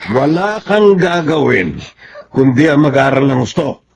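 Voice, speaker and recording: A man's voice: medium pitch, very animated, a little slow, vocal fry, husky, heavily acted. An actor playing a stern, demanding father with a scolding, commanding manner in a radio drama.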